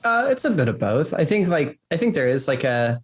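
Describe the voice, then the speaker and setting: A man's voice: fairly high pitch, sing-song, fairly quick. A man being interviewed as a game developer, explaining in loose, informal, exploratory phrases peppered with "like". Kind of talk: conversational interview answers.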